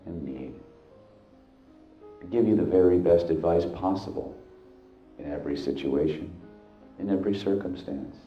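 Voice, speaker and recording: A man leading a guided hypnosis, speaking deliberately in short, halting phrases with hypnotic repetition. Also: low voice. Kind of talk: guided hypnosis, slow meditative narration. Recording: echoey, dull sound with music behind. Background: background music.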